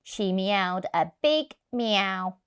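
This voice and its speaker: A woman reading a picture book to young children, expressive and deliberate, stressing key words. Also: medium pitch, clear, resonant tone. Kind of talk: storybook narration for young children.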